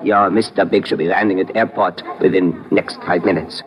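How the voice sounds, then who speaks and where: An actor playing a polite, menacing Japanese fanatic in a 1950s radio drama, with a Japanese accent and formal, article-dropping phrasing. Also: male voice, low-pitched, steady tone, moderate pace, crowd noise, lightly acted. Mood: courteous, calculating. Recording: noisy vintage 1950s radio recording.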